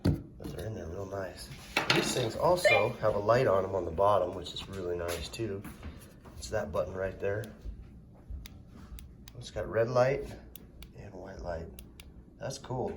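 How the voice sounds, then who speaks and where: A man's voice: deep voice, measured pace, pauses often. A man giving a casual, plainspoken hands-on product demonstration in a folksy way. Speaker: product reviewer. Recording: loud background noise, vehicle ambience.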